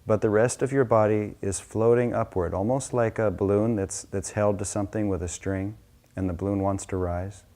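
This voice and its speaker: Male meditation teacher, warm mellow tone, slightly low pitch, slow and gentle off-the-cuff guidance. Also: fairly even intonation.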